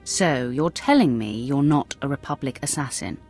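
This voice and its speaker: A young dark-side apprentice in a video game with a notably low voice. She is cool and imperious, issuing threats with sardonic calm. Faint music sits behind her. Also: moderate pace. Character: cold, commanding female Sith acolyte, merciless. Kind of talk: video game cutscene acting.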